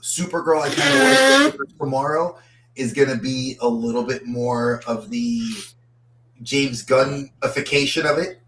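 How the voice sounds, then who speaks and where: A man's voice: mid-range voice, animated, unhurried, heavy background noise. A man co-hosting a podcast, chatting casually about movies and often repeating words as he starts a thought. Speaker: podcast co-host. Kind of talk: casual movie-review chat. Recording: roomy echo.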